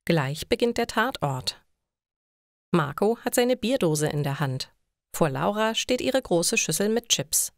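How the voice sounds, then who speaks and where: A woman's voice: on the low side, sing-song, measured pace, dry recording, clean studio sound. A woman narrating scripted short stories for language learners.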